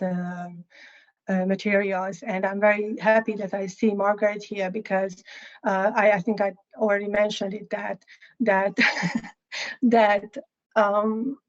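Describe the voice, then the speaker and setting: A woman with a Hungarian accent and Hungarian as her first language, an artist speaking in an interview, halting and thoughtful with many restarts. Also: medium pitch, lo-fi sound. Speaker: guest artist. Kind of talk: interview, reflective talk.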